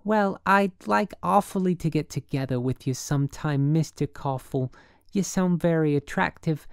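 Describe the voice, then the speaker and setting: A woman's voice: low-pitched, normal speed, smooth, no echo, clean studio sound, voice pushed up. A woman voicing a brassy working gal in an audiobook, slangy and suspicious, with affectedly genteel airs. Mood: flirty, gracious.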